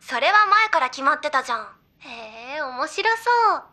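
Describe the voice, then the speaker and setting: Bright, high-voiced young idol girl. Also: sing-song, moderate pace, exaggerated voice. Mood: curious. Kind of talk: Japanese anime audio drama, naturalistic banter.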